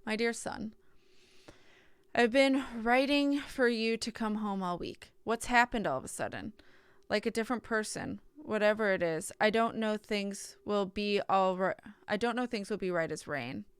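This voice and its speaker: A woman's voice: medium pitch, moderate pace, clear, resonant tone, no room sound. A woman streaming a visual novel, reading the text aloud with casual asides and often stumbling and correcting herself.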